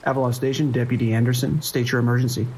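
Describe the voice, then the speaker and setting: An actor playing a tense, dutiful police dispatcher in an audio drama, with clipped, procedural radio speech. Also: male voice, medium pitch, flat delivery, very fast, darkish tone, a little hiss or hum, natural voice, poor audio. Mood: steady, urgent.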